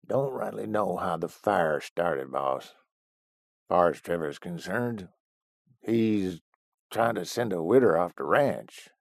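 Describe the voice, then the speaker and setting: Man doing a gruff drawling old ranch hand. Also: low-pitched, expressive, dry recording, studio quality, full character voice. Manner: gruff rural drawl, slow. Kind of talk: audiobook character voice.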